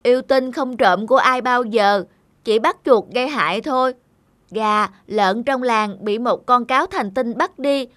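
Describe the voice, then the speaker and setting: A woman voicing a lonely, misunderstood young goblin in a cartoon, speaking slowly and plaintively. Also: on the high side, fairly bright tone, dry recording. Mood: earnest. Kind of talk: Vietnamese cartoon voice acting.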